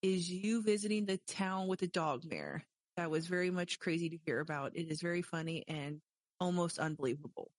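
A woman with a lowish voice, reading listener emails aloud and adding amused comments. The sound is dry and close to the mic. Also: fairly quick, no echo.

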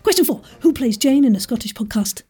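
A woman hosting a podcast quiz in a playful, chatty way, with self-deprecating asides and a husky voice. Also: a little high, animated, fairly quick, dark tone.

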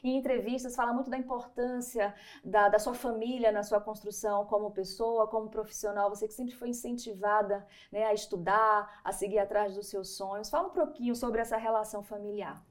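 A woman from Bahia hosting an interview podcast, friendly and measured as she reads introductions. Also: mid-range voice, fairly even intonation. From Bahia, Brazil. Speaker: podcast host.

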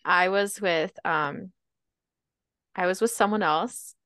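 A young woman co-hosts a casual podcast, telling stories in a chatty, upbeat way. Her voice sits a little low. The sound is dry and close, with no room around it. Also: slow pace, a bit bright, smooth, dry recording. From Baltimore, Maryland. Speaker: podcast co-host. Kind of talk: casual chat.

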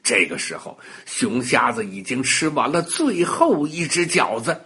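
Man, children's storyteller, very husky voice, swooping lively intonation, unhurried, dramatic delivery. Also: mid-range voice. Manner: dramatic, expressive, with exclamations and sound words. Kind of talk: animated bedtime storytelling for children.

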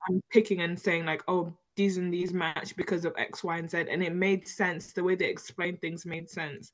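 Animated woman chatting on a podcast. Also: on the low side, normal speed, poor audio. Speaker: podcast co-host. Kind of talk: casual chat, reality TV recap.

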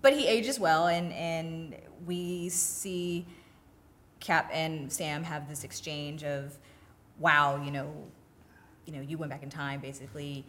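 A man's voice: fairly high pitch, steady tone, slow, pushed and bright, a little hiss or hum. A man co-hosting a podcast, chatting casually about films. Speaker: podcast co-host reviewing films. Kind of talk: casual film discussion.

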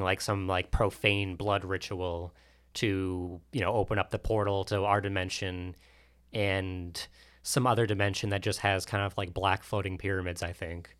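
A man with a very low, smooth voice talks casually as a podcast co-host. He rambles a little, hedging and dropping in asides as he discusses movies. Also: fairly bright tone.

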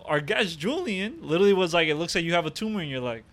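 A man's voice: on the high side, expressive, quick pace, a bit bright. A young man co-hosting a podcast, joking around in casual banter with lots of fillers, recorded on a table mic. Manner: joking, swears freely, many fillers. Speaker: podcast co-host. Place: small room at a table.

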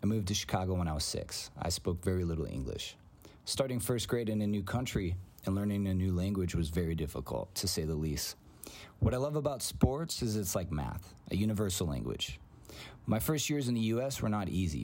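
Greek-born man, 40s, low voice, calm steady read of a personal piece. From Greece. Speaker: coach and teacher.

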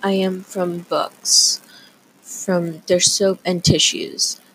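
A teenage girl reading her own poem in a measured, even way. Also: fairly low pitch, low-quality recording. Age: teens. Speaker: student.

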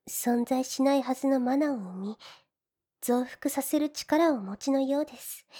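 A woman voicing a gentle, devoted slime woman in an ASMR fantasy audio drama, speaking politely and a little shyly. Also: high voice, slightly rough. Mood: polite, awed. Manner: soft, polite, deferential, shyly hesitant. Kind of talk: Japanese ASMR situation voice drama.

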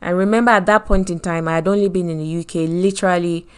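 A woman's voice: lowish voice, fairly even intonation, fast talker, smooth. Nigerian woman giving a faith talk. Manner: earnest, preacherly, acts out quoted voices. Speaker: host of a short faith podcast.

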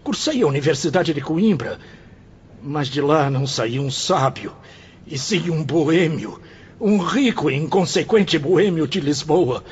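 A Brazilian actor playing a humbled former bohemian, earnest and formal, in a declamatory radio drama style. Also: male voice, a little high, lively intonation, a little slow. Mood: wistful, regretful. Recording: dull radio recording with faint noise.

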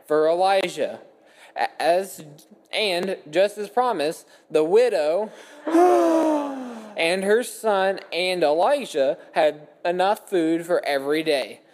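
A man's voice: high-pitched, lively intonation, slow, darkish tone. A man reading a Bible story aloud from a script as a dramatic narrative. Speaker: scripture reader. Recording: echoey hall with long reverb.

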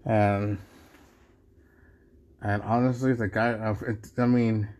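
A man's voice: lowish voice, little pitch movement, takes it slowly, a little mellow. A man from Mexico hosting a solo podcast, talking casually in a rambling way with many restarts and asides. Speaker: podcast host.

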